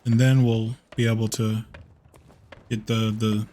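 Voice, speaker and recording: A man chatting casually on a gameplay livestream in a halting way, often repeating his phrases. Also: fairly low pitch, level tone, mellow, slight background noise. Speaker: live streamer, VTuber. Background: game music.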